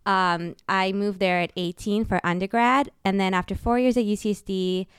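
A young woman from Kenya co-hosting a casual podcast, friendly and upbeat. Her voice is very smooth and clear. The recording is close and dry. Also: medium pitch, steady tone, moderate pace, no echo. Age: young adult. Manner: upbeat, friendly, light laughter. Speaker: podcast co-host.

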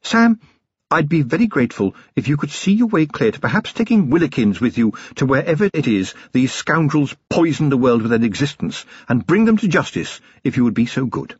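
Man playing a high, swooping genteel wife. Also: sing-song, normal speed, husky, no room sound, dull audio. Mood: carefully restrained. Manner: emphatic, polite, upper-class phrasing. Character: genteel, forceful aristocratic wife, middle-aged, briskly affectionate. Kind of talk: audiobook character voice.

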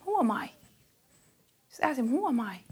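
Earnest woman preacher, rather high voice. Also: big pitch swings, normal speed, breathy edge. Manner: intense and earnest, rising and louder on key questions; hesitant fillers, searching for words. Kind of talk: spoken sermon.

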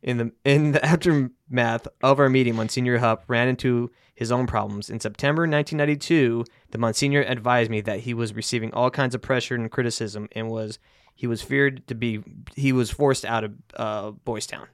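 A man's voice: mid-range voice, fairly even intonation, a little fast, dry recording, clean studio sound. A man hosting a casual podcast, reading passages aloud and then chatting loosely with his friends. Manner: reads quotes then jokes loosely with friends. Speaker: podcast host.

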